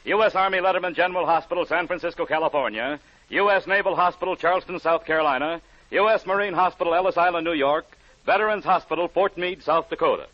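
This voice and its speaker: A man's voice: a little high, fast. A man announcing formally from a script in declamatory 1940s radio style. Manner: formal, declamatory list reading. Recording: muffled 1940s radio broadcast.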